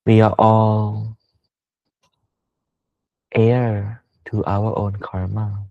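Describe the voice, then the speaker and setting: A man leads a guided meditation in a measured, pausing delivery. His pitch barely moves from phrase to phrase. The sound is very dry and close, though a little dull and lo-fi. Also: little pitch movement, a little mellow, no echo. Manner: slow, measured, pausing between short phrases. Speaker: Buddhist meditation teacher. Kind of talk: guided meditation talk with Buddhist chanting.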